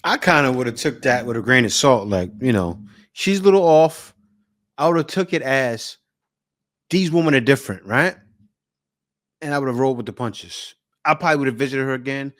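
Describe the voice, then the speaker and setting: A man hosting a reaction channel, talking straight to his viewers in a relaxed, casual way. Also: mid-range voice, moderate pace, no room sound, clean studio sound. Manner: relaxed, direct talk to viewers.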